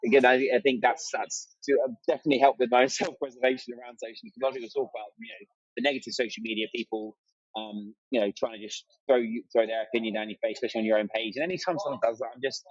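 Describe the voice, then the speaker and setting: A man talks at a quick clip in a casual, enthusiastic podcast style. His pitch is average for a man. The sound is dry and close, with a slightly muffled, band-limited quality. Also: steady tone, no echo. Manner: chatty and enthusiastic, acts out remembered exchanges. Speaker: interview guest, amateur runner and content creator.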